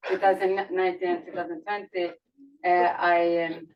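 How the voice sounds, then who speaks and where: A woman speaking on a panel in a halting, self-correcting way, often laughing. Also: lowish voice, flat delivery. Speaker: roundtable panelist. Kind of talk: conversational panel remarks. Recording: distant, dull mic with faint noise.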